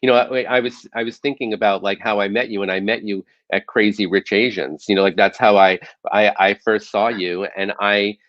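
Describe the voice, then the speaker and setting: A man's voice: on the low side, fairly even intonation, brisk, hardly any pauses, no room sound, lo-fi sound. A man hosting a casual interview, rambling and laughing easily as he talks with his guest. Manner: warm, rambling, laughs often. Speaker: host.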